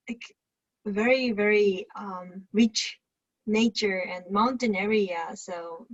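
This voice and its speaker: Slow Japanese-accented woman, dull call audio. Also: medium pitch. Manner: halting, searching for words with fillers. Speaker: guest, Zen eating workshop host. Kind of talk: interview answers. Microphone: video call.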